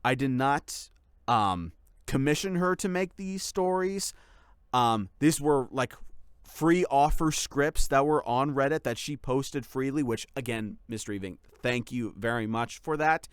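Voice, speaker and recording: A man's voice: medium pitch, a little slow, clean tone, dry recording, clean studio sound. A man speaking casually as a channel host, giving a rambling, explanatory update to followers. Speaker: ASMR voice actor and channel host.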